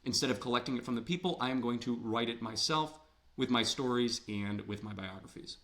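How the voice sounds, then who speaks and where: Conversational man lecturing, slightly hoarse. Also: mid-range voice, brisk, fairly bright tone. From Ohio, USA. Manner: conversational, asks rhetorical questions, repeats words when emphasizing. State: slightly losing his voice. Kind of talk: recorded literature lecture.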